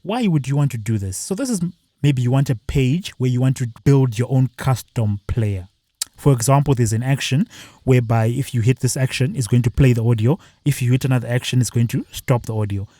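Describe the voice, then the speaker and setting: A man with a mellow, warm voice walks through a software tutorial step by step, talking plainly and stopping now and then for a filler. His voice sounds dry and close, with no room around it. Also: mid-range voice, fairly quick, no echo. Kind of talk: screen-recorded software walkthrough.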